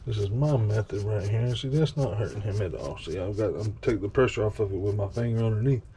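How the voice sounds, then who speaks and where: A man's voice: on the low side, a little fast, warm tone. A man giving casual, rambling commentary as he washes his dog at a kitchen sink, talking now and then to the dog. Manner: rambling, folksy, talks to the dog. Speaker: vlogger washing his dog. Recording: running water noise behind.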